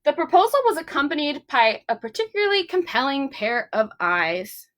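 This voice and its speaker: A woman's voice: sits high, sing-song, moderate pace, a bit bright. A woman hosting a children's storytime, reading aloud expressively and speaking simply to young listeners. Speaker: library storytime host. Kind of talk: children's picture-book read-aloud.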